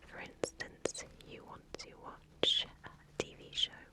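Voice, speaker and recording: A woman whispering a sponsor message in ASMR style, as the creator of the video, entirely in soft unvoiced breath. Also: takes it slowly.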